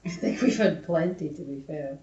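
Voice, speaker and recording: A woman's voice: deep voice, moderate pace, warm tone. A woman co-hosting a podcast, chatting casually in an informal, teasing way. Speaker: podcast co-host. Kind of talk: casual chat. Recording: echoey room, slightly dull sound.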